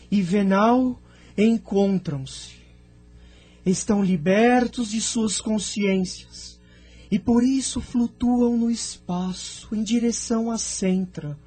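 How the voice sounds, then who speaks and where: A male actor as a solemn medium in trance, high and soft-toned for a man. He recounts visions slowly and deliberately, as in a spiritual radio play, over faint music. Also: breathy edge, exaggerated voice. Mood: entranced, hushed. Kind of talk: spiritist audio drama narration. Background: background music.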